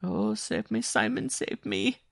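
A man's voice: high voice, wildly swooping pitch, measured pace, vocal fry, no room sound, studio quality, voice pushed up, exaggerated voice. A man voicing a terrified wife in an audiobook story, sobbing and imploring. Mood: terrified, pleading. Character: frantic pleading circus woman, terrified wife.

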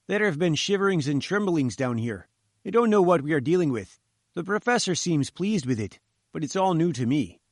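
A man's voice: fairly high pitch, animated, normal speed, no echo, studio quality, voice pushed up. A man voicing a nervous middle-aged chief engineer who talks anxiously and emphatically. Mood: uneasy.

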